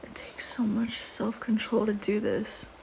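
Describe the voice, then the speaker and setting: A woman voicing a flirty, teasing, predatory female vampire in an intimate audio roleplay, with playful banter and breathy murmurs. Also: mid-range voice, fairly even intonation, a little slow, darkish tone, in a whisper, low-quality recording. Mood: seductive, hungry.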